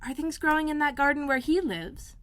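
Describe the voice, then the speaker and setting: Woman doing a storybook young girl, eager questions, higher voice, dry sound. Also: a little fast, a bit bright, no room sound. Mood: curious. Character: curious lonely young girl.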